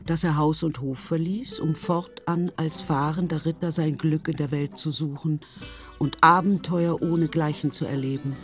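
A man's voice: a little high, steady tone, normal speed, fairly bright tone, faint music behind. A man narrating a literary radio play in a scripted storytelling style.

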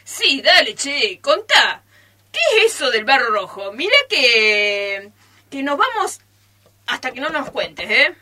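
A woman's voice: sits high, very animated, slow pace, bright, forceful tone, heavily acted. A woman with an Argentine accent acting an impatient, mocking friend in amateur radio theatre, insistent and needling.

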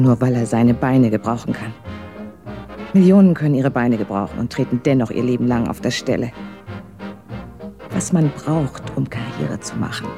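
An actress in a radio drama playing a bitter, proud former career woman in a wheelchair, cutting and scornful. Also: very deep voice.

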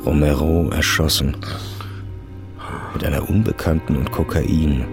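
An actor playing a terse, sober police chief of a drug squad in a German crime radio drama. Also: male voice, extremely low pitch, fairly even intonation, unhurried. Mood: grim, brooding. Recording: music behind the voice.

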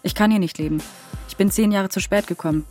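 An anxious, despairing older sister in a radio play, worn down by fear, played by an actress. Her mid-pitched voice carries faint music underneath. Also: fast talker, darkish tone, natural voice. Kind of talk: radio drama acting.

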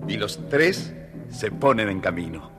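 A man narrating a scripted children's story in a measured, expressive way. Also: on the low side. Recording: reverberant studio story recording. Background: music.

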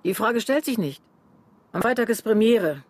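High, raspy, commanding theatre boss. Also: male voice, animated, moderate pace, no room sound. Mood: resolute, urgent. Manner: commanding, resolute. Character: stern, driven theatre boss demanding discipline. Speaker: actor in a radio drama. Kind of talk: emphatic radio drama dialogue.

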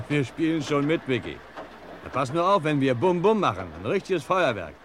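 An actor playing a steady, reassuring undercover police guard in a radio crime drama, assured in manner. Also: male voice, a little high, normal speed. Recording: clear outdoor vehicle noise behind.

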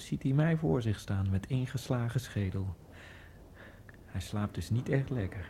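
A man's voice: a little high, a little hiss or hum. An actor playing the wry, brooding ghost of a murdered immigrant, telling his tale in an intimate, dramatic radio monologue. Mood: hushed, grim. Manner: wry, dramatic, shifts from hushed to agitated outbursts.

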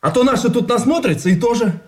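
An actor playing a high-strung, nervous soldier held captive in a radio drama, excitable in delivery. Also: male voice, quick pace. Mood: agitated, bitter. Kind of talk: Russian radio drama acting.

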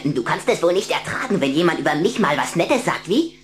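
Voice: mid-range voice, expressive, brisk, a little hiss or hum, close to an everyday voice. A performer playing a boastful, touchy little boy vampire in a children's radio play, snide and self-important. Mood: snide, taunting.

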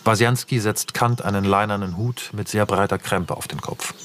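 A man's voice: on the low side, fairly even intonation, moderate pace, a bit bright, slight background noise. A man narrating a radio drama, reading stage directions in a terse, matter-of-fact way.

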